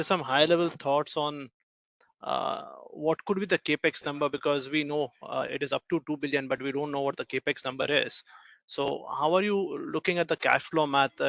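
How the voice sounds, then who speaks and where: Creaky-voiced male analyst asking questions. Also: on the high side, fairly bright tone, dry recording, poor audio. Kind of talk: formal question on an earnings call.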